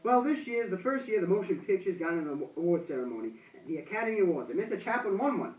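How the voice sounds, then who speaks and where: A man's voice: high voice, big pitch swings, fast talker, narrow, tinny audio. A young man playing a gushing, folksy host in an amateur 1920s radio broadcast. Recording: roomy, distant-miked amateur recording, thin sound.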